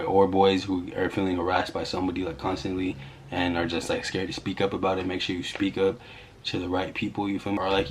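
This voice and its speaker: A young man telling a story in a casual, slangy vlog style with music behind him. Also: low-pitched. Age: young adult. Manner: slangy, conversational. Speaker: YouTuber telling a story to camera.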